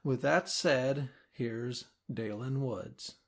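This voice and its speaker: A man's voice: medium pitch, drawn-out words. A middle-aged American man chatting casually and easily as an author being interviewed. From United States.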